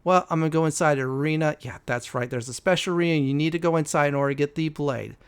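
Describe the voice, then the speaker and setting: A man hosting a casual video game walkthrough, often pausing mid-sentence before key words. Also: a little high, clean tone. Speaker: gaming video host.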